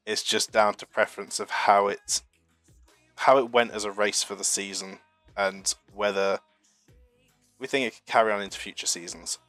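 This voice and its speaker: A man's voice: lowish voice, measured pace, long pauses, sharp tone, no echo, soft background music. A young man from the UK hosting a live stream, talking in a chatty, rambling, self-deprecating way while getting over a flu. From United Kingdom. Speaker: streamer hosting a marble racing channel. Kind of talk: casual live-stream chat reading chat messages.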